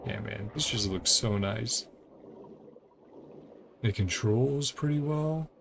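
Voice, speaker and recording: A man's voice: mid-range voice, slow, creaky, noisy background. A man streaming a video game, giving casual, rambling, laid-back commentary as he plays.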